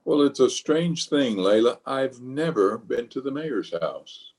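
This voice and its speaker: An actor as a pompous middle-aged boss in an old-time radio comedy. He blusters and stammers, hedging his way around things in a man's mid-pitched voice. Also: animated, measured pace, a little mellow. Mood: evasive, flustered. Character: flustered, evasive, pompous middle-aged male water department boss.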